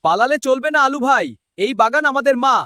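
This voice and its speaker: Man voicing a high, bright little carrot hero. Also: fast talker, no room sound. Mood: rallying. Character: small plucky boastful cartoon carrot hero. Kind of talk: children's cartoon dialogue. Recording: music behind the voice.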